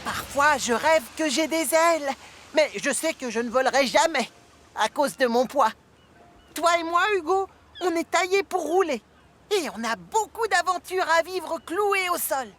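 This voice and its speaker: Une femme qui double une jeune locomotive masculine amicale et raisonnable, au ton expressif, dans un dessin animé en français. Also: female voice, sits high, unhurried. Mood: friendly, consoling. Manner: expressive, friendly. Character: cheerful young male tank engine, friendly and sensible. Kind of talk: French-dubbed children's cartoon.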